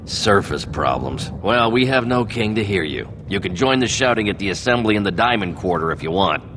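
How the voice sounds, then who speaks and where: Gruff, low-voiced dwarven guard captain played by a man. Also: animated, fairly quick, noisy background, close to an everyday voice. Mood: gruff, dismissive. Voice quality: gravelly tough-guy tone. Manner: curt, commanding, dismissive. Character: gruff dwarven guard captain, stern, short-tempered, world-weary. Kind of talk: fantasy video game voice acting.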